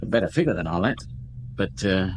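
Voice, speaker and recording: Radio drama: weary club owner, casual and confiding, lively intonation, slight hiss behind. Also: male voice, low-pitched, brisk, rough recording. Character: world-weary male nightclub owner. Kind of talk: 1950s-set radio drama acting.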